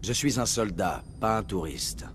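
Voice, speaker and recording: Low-voiced, terse space commander. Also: male voice, expressive, measured pace, slight background noise. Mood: curt, firm. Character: terse, no-nonsense military commander hero. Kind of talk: video game voice acting.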